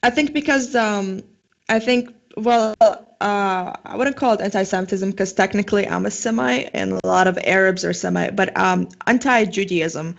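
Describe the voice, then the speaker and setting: A young woman with a Syrian Arabic accent and a gravelly, creaky edge to her mid-pitched voice. She chats loosely as an interview guest, full of "like" and "um". The audio sounds dull and muffled. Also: normal speed. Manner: chatty, lots of "like" and "um". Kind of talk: casual interview talk.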